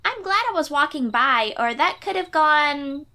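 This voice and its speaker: A woman's voice: sits high, lively intonation, measured pace, pushed and bright, full character voice. A woman voicing a confident, chatty thirteen-year-old sea-dweller girl warrior in a fantasy audio roleplay, perky and informal. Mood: cheerful, eager.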